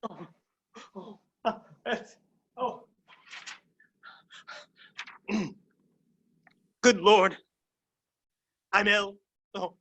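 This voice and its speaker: An actor as a faltering, frightened old manservant. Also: male voice, high voice, very slow, pauses often, bright, forceful tone, breathy edge, heavily acted. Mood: ailing, gasping. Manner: pleading, faltering. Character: frail, frightened old manservant, timid and complaining. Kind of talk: theatrical staged reading of a classic farce. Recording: home recording over video call.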